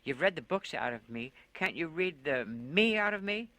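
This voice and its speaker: A lonely woman in a storybook reading pleads and then raves, her voice climbing high and loud, as a man puts her on. The tone stays bright and forceful. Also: lively intonation, moderate pace, no echo. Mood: desperate. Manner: raving, pleading, voice rising high. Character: desperate lonely woman, middle-aged, pleading.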